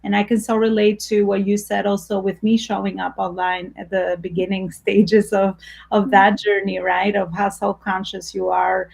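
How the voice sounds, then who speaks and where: A woman's voice: medium pitch, flat delivery, moderate pace, vocal fry, darkish tone, husky. A woman hosting an interview over a video call, talking in an effusive, rambling way. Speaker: host. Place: home room.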